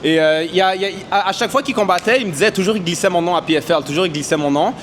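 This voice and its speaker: Confident fighter, slang-heavy. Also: male voice, sits high, very fast, fairly bright tone, slight background noise. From Canada. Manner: confident, mixes English slang into French. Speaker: MMA fighter. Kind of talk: casual interview answers. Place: boxing gym.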